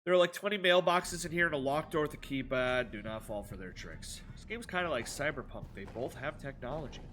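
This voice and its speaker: A man's voice: medium pitch, lively intonation, brisk, a bit bright, slight background noise. A man streaming a game with a stuffed-up nose, chatting sarcastically with his viewers as he plays. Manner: sarcastic, rambling banter with chat. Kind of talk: live gameplay stream, reading chat.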